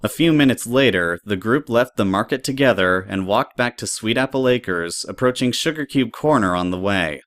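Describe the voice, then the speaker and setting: Low-pitched male story narrator. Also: expressive, normal speed, hardly any pauses, fairly bright tone, no echo, clean studio sound.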